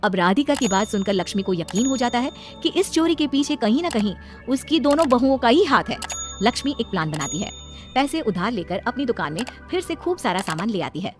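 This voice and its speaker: Woman, story narrator, scripted cartoon narration, lively pitch, music underneath. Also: a little fast.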